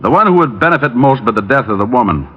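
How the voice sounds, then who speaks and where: An actor playing a private detective who trades dry wisecracks, in a 1950s radio drama. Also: male voice, medium pitch, animated, fast talker, slightly rough. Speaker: lead detective and narrator. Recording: dull old radio recording with music.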